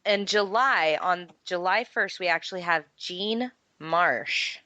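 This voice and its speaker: A woman's voice: on the low side, takes it slowly, pushed and bright, no room sound. A woman presenting casually on a podcast, with a dull, limited sound to the recording. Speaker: podcast panelist reading a birthday list.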